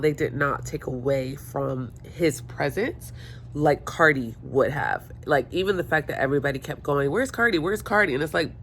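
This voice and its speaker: A woman's voice: low-pitched, slight background noise. Chatty hungover woman vlogging at home. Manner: chatty, rambling, self-mocking. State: stuffy and hungover. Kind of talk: casual chat to camera. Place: home room by windows. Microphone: phone held or propped.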